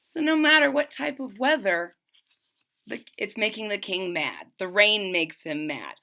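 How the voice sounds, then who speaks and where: A woman's voice: medium pitch, sing-song, unhurried, gravelly, bright, forceful tone. A woman reading a children's picture book aloud expressively, with explanatory asides as a storyteller.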